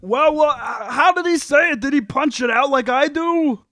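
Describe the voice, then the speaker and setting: A man's voice: very high voice, moderate pace, bright, forceful tone, no echo, clean studio sound. A man playing a whiny, defensive sitcom husband in a script table read. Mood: excited, dismayed.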